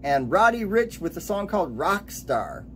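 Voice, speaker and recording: Lively man in his late forties, DJ-style. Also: sits high, normal speed. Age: late 40s. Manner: upbeat announcer delivery, listing titles and years. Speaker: radio DJ. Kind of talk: radio DJ countdown narration.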